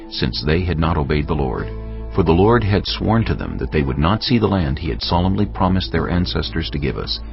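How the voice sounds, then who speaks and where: A man's voice: very deep voice, vocal fry. A man giving scripted narration for a dramatized audio Bible. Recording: dull audio, music underneath.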